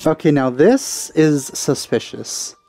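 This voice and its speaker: Casting: male let's play host, mid-pitched, dark relaxed tone, sceptical asides, faint music behind. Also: slow. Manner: wry, sceptical. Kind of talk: casual gameplay commentary. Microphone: close dry mic. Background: faint game music.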